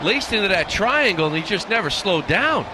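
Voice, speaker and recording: A man's voice: high voice, big pitch swings, moderate pace, crowd noise. A man giving conversational colour commentary during a live baseball game. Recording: noisy live stadium radio broadcast.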